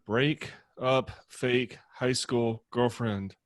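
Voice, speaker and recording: A man's voice: mid-range voice, steady tone, extremely slow. Comedy table read: male actor as dry, teasing, boozy roommate, sardonic, mid-range voice. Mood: drunk, teasing.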